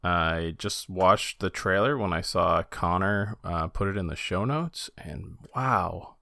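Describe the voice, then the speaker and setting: A man's voice: low-pitched, takes it slowly, creaky, bright, forceful tone, no echo, studio quality. A man co-hosting a podcast in casual, conversational chat. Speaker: podcast co-host.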